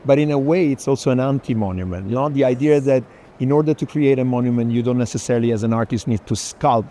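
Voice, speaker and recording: A man's voice: medium pitch, quick pace, dark tone. A man moderating a walking conversation at an art fair, searching for phrasing and often ending on a check question. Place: indoor art fair, walking among stands. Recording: faint busy fair hall noise.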